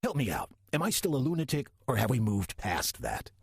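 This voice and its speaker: An actor playing a wry, lazy old male demon who poses as a churchgoer in an audio drama, gravelly, husky and theatrically sardonic. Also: mid-range voice, wildly swooping pitch, a little fast, dry recording, studio quality, heavily acted. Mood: sly, amused. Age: older.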